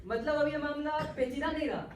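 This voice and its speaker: A man's voice: very high voice, fairly even intonation, fairly quick. A man playing an indignant young spendthrift son in a comic stage play, haughty and complaining. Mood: exasperated. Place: theatre stage, distant microphone. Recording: echoey, noisy stage recording from far off.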